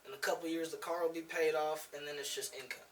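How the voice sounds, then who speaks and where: Bright-voiced slangy man, a bit distant. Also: a little high, fairly even intonation, fast talker, slight background noise. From Germany. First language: German. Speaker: podcast guest. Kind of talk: casual slangy chat.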